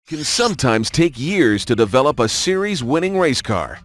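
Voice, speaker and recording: A man reading scripted documentary-style narration in a polished, measured announcer delivery. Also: mid-range voice, big pitch swings, no room sound. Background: background music.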